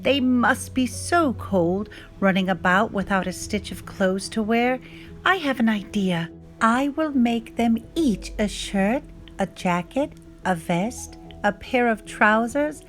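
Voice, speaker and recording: Woman playing a caring shoemaker's wife, grateful, storybook character, mid-pitched, music underneath. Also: close to an everyday voice. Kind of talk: children's storybook read-aloud.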